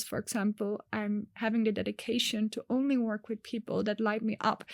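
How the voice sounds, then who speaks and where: A woman's voice: fairly high pitch, dry recording, clean studio sound. A woman coach raised in Nepal, speaking earnestly and expressively in a conversational interview, with emphatic points.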